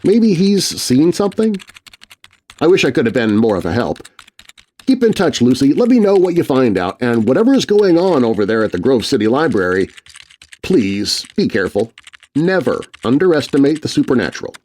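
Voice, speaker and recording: A man reading a children's scary story aloud, voicing letters in a scripted, expressive narration. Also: medium pitch, moderate pace.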